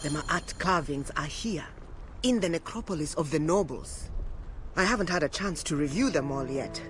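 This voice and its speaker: A man's voice: fairly high pitch, expressive, moderate pace, a bit bright, a little hiss or hum. An actor playing an anxious, scholarly and opportunistic antiquarian man of ancient Egypt, nervous and fussy, in a game cutscene. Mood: curious, eager. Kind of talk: dramatic game cutscene acting.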